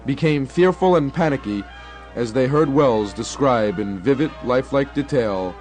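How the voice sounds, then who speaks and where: A young man narrates a radio documentary from a script. His voice sits at a medium pitch, with faint music behind it. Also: normal speed, a little mellow. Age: young adult.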